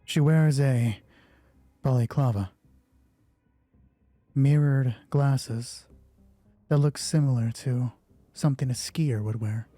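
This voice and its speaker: A man narrating a solo tabletop roleplay as host, moving between measured storytelling and casual asides. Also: medium pitch, mellow, dry recording.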